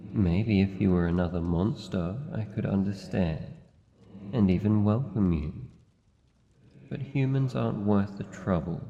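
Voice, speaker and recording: Grumpy yet vulnerable living shadow played by a man, Australian, dark-toned and guarded, muffled reverberant recording, fantasy audio roleplay. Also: lowish voice, creaky. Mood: resentful. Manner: formal, halting, guarded speech. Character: wary, lonely living shadow monster, grumpy but vulnerable. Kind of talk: fantasy ASMR roleplay, slow deliberate delivery. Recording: muffled, long cavernous reverb, faint noise.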